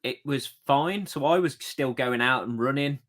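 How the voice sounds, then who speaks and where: A man's voice: medium pitch, normal speed, a bit bright. Chatty man hosting a podcast. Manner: friendly, chatty, a few fillers. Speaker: podcast host. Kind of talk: casual chat.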